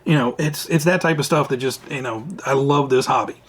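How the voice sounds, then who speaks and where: A man hosting a hobby vlog, answering viewer questions in a rambling, chatty way, his voice raspy and tired after hours of recording at home. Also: mid-range voice, fairly quick. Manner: rambling, chatty, self-interrupting. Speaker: RC hobby channel host. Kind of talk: casual Q&A vlog talk. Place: at home, indoors. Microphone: camera mic.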